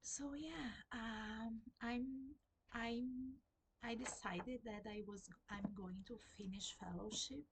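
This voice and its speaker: A woman's voice: on the high side, slow pace, noisy background. A woman with a Portuguese accent chatting casually to camera about her reading, hesitant with many fillers and restarts. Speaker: book vlogger. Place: at home in sunlight.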